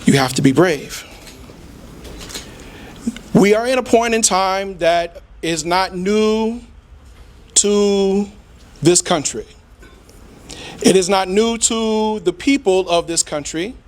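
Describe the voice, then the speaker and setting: A middle-aged man giving an impassioned, preacher-like public speech in a deliberate, rhetorical manner with emphatic repetition. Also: high voice. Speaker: community speaker.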